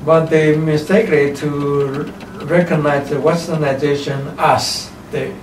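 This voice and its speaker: A man's voice: on the high side, level tone. Older Taiwanese-accented man in roomy, distant recording. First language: Chinese. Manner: emphatic, repeats short phrases, halting non-native English. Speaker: kung fu teacher being interviewed. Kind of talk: opinionated interview talk. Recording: roomy, distant mic, faint background noise.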